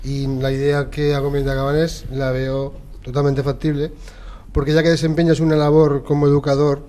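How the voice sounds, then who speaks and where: A man's voice: a little high, flat delivery, moderate pace, mellow. A middle-aged man with an accent from Spain speaking as a debate guest, hesitating and repeating himself. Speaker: football coach, debate guest. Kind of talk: spontaneous panel debate. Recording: clear background noise.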